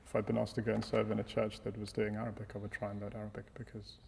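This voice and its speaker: A quick, gravelly male voice with a mellow, dark tone talks through his thoughts with frequent restarts. He is an English priest in middle age, speaking in a reverberant hall. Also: fairly low pitch, completely flat delivery, slight background noise. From England. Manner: thoughtful, reflective, hesitant restarts. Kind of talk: casual panel discussion. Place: church hall.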